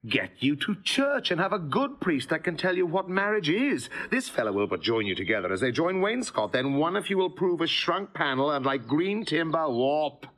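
A man's voice: a little high, sing-song, normal speed, hardly any pauses, fairly bright tone. A scolding, emphatic nobleman. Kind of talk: stage-play acting.

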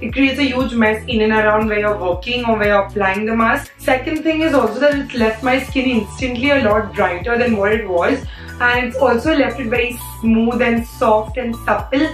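A young woman talks in a hoarse, fairly high voice, chatting casually to her viewers with plenty of "like" fillers. She sits a little back from the mic in an echoey room, with faint music underneath. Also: moderate pace, far from the mic. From India. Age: young adult. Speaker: beauty vlogger.

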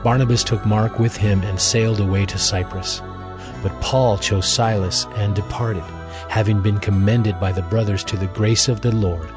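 A man narrating scripture as a scripted audio drama, in a measured, formal manner. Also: lowish voice, steady tone. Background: music.

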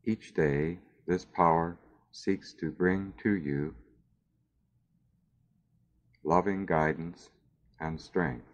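A man narrating a scripted guided meditation in a measured, soothing way with long pauses, on a dull recording. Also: sits low, fairly even intonation.

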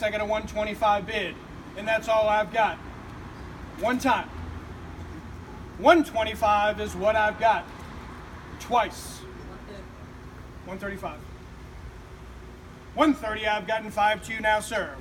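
A middle-aged man working as an auctioneer, calling bids in a rapid, rhythmic, repetitive chant outdoors on a street. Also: sits high, fairly bright tone. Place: outdoors on a street beside a building. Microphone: far camera. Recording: distant outdoor recording, street noise.

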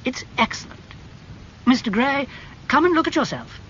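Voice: high-pitched, sing-song, normal speed, sharp tone, highs cut off, a little hiss or hum, pitched above the performer's own voice, exaggerated voice. A reader voicing a witty, cynical aristocratic lord who drawls his remarks knowingly. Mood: wry. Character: witty, cynical English lord, amused and provocative.

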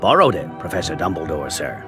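An actor voicing a big, kindly, rough giant groundskeeper in a video game, hearty and rustic. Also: male voice, rumbling low, big pitch swings, fairly quick, over music. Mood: respectful. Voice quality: gravelly.

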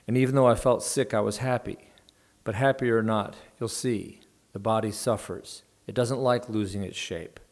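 A grim mother who warns in dark, emphatic, animated tones, read by a man. The voice is low and gravelly. It is a plain reading, not a full performance. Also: moderate pace, natural voice. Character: gloomy mother, dark and emphatic about pregnancy.

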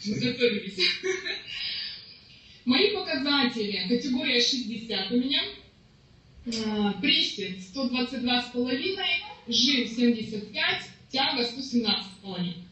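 A woman, a former powerlifter, calmly listing her competition results on a casual livestream. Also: a little high, measured pace. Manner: matter-of-fact, reciting numbers. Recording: very echoey room, far from mic, dull.

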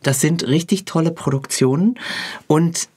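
Husky male podcast host. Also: on the high side, measured pace, warm tone. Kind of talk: conversational interviewing. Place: recording studio.

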